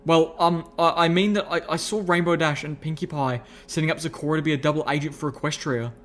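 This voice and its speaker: Casting: male narrator as nervous young pony heroine, stammering and sheepish, raised pitch, audio storybook. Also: fairly even intonation, fast, fairly bright tone, lightly acted. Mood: nervous, confessing.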